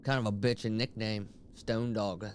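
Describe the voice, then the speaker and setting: A man's voice: fairly low pitch, fairly even intonation, normal speed, a little hiss or hum. A man giving casual, playful playthrough commentary, reacting in crude slang. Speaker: gaming video host reacting to a playthrough. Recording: loud game music behind. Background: game music.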